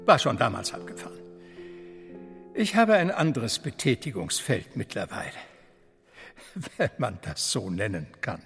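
An actor playing a resigned, reproachful failed artist in a radio drama, hesitant and bitterly polite. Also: male voice, mid-range voice, wildly swooping pitch, unhurried, creaky, long pauses, a bit bright, breathy edge, exaggerated voice. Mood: resigned, wry. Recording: long reverberant hall, music underneath.

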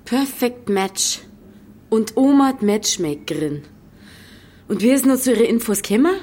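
A woman's voice: a little high, lively intonation, normal speed, mellow. An actress playing a defiant, curious young village woman in a radio drama, with a Bavarian accent and a sceptical, wry way of questioning. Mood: wry, intrigued.

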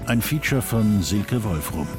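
A man's voice: fairly low pitch, expressive, unhurried. A man making a dramatic scripted title announcement for a radio feature. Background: loud music.

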